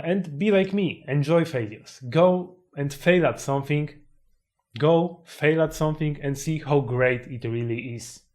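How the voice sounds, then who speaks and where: A man's voice: medium pitch, darkish tone. Hesitant young man, self-help vlog. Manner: hesitant, many fillers and restarts. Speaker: YouTuber.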